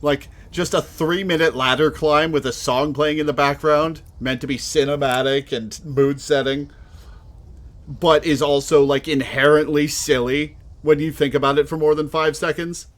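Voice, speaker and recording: A man's voice: fairly high pitch, steady tone, normal speed. A man streaming casual, wry commentary, making reflective asides as he watches.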